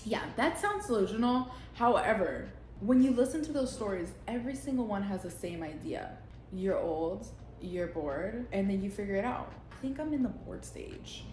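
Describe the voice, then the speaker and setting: A woman's voice: medium pitch, expressive, moderate pace, darkish tone, a little hiss or hum. Blunt, laughing young woman vlogger. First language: Spanish. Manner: blunt, profane, rambling with frequent laughs. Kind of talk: unscripted casual monologue. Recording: roomy echo.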